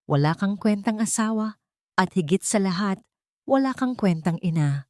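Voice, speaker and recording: A woman voicing a harsh older mother-in-law with a shrill voice who accuses without mercy, in a storybook drama. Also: animated, moderate pace, warm tone, no echo, clean studio sound, close to an everyday voice. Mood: accusing.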